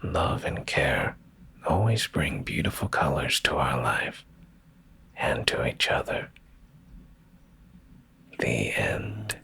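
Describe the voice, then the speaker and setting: A man with a rock-bottom, heavily fried voice reads in a hushed bedtime ASMR style. His delivery is unhurried and drawn out, with soft, soothing phrasing right up against the microphone. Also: big pitch swings. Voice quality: very deep, gravelly, breathy near-whisper. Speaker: ASMR streamer and bedtime story reader. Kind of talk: ASMR, slow soft bedtime story reading. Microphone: close ASMR microphone.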